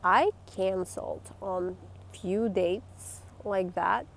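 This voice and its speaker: Blunt woman with a gravelly voice. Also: mid-range voice, drawn-out words, a little hiss or hum. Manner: blunt, emphatic and swearing. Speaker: vlogger. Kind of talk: candid talk to camera.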